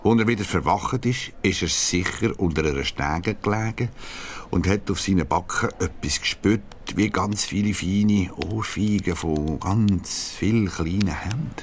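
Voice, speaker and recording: A man's voice: low-pitched, measured pace, slight background noise. A man with Swiss German speech, narrating a children's adventure radio play as the storyteller. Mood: suspenseful storytelling.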